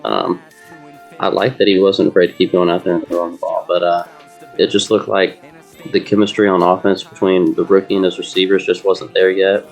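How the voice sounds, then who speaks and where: A man giving casual game analysis as a panelist, informal and somewhat halting in his phrasing. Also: deep voice, level tone, faint music behind. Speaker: sports show panelist.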